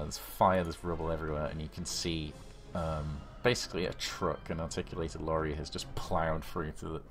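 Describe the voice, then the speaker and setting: Man, low pitch, game master, descriptive horror narration, music behind. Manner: descriptive storytelling. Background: background music.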